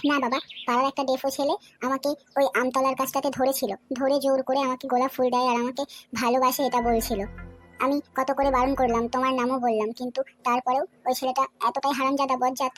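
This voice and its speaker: A woman voicing a proud, sharp-tongued young woman in a comedy cartoon, scolding and defiant, with a West Bengal accent. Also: high-pitched, little pitch movement, quick pace, natural voice. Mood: complaining. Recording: music behind the voice.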